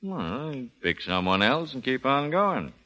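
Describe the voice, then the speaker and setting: An actor playing a cool, weary racket boss in a 1930s radio drama, terse and understated. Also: male voice, mid-range voice, sing-song, measured pace, sharp tone. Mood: cool, resigned. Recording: dull, reverberant 1930s radio recording.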